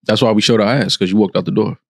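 A man in his thirties co-hosting a podcast, joking in quick, lively banter in a studio. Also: lowish voice, gravelly, dry recording. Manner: quick, jokey, animated. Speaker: podcast co-host. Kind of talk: casual banter.

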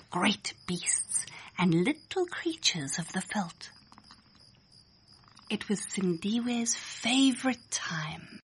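Woman narrator for a children's read-aloud picture book; unhurried, expressive delivery, faint background noise. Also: medium pitch. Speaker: storybook narrator. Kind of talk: scripted children's storybook narration.

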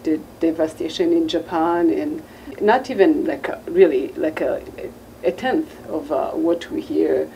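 A middle-aged woman from Burundi with a Burundian accent, speaking haltingly as a panelist. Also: low voice, vocal fry, dark tone, recorded from a distance, slight background noise, poor audio. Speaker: panelist, community liaison. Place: conference room, indoors.

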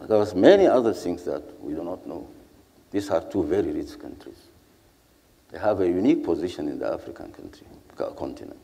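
An older man with a Sudanese accent speaking as a panelist, in a measured, deliberate way with earnest rhetorical repetition. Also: low voice, mellow. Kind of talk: panel speech, earnest public address. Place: seated on a stage in a large hall. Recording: echoey hall with long reverb.

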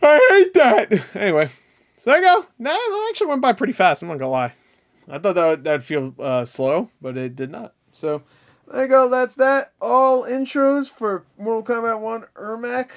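A man's voice: extremely high pitch, big pitch swings, normal speed. A man hosting a reaction video with casual, profane, blunt and jokey commentary.

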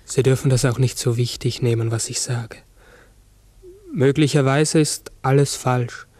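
An actor playing a large talking raven in a 1960s radio drama, brooding, uncertain and often correcting himself. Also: male voice, medium pitch, steady tone, darkish tone, dry recording, lightly acted. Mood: pensive, uncertain. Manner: halting, brooding, self-correcting. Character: human-sized talking raven, pensive, uncertain, forgetful.

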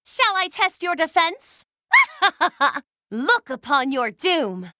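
A woman's voice: high voice, sing-song, extremely slow, sharp tone, no echo, studio quality, full character voice. A woman voicing a haughty young noblewoman mage in a video game, with imperious, formal and theatrical diction. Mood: haughty, proud. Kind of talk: short battle voice clips.

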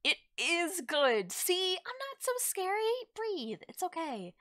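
A woman's voice: very high voice, sing-song, sharp tone, no room sound, clean studio sound, full character voice. Playful, lilting witch girl, acted by a woman. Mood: coaxing, reassuring. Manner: coy, soothing, conversational with drawn-out words. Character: playful, teasing young female forest witch, gently reassuring. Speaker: roleplay voice actor. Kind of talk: ASMR audio roleplay, addressed to the listener.

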